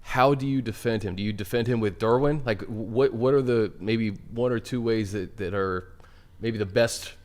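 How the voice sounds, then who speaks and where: A man's voice: on the low side, moderate pace. A man hosting a sports talk show, chatting casually and putting questions to his guests.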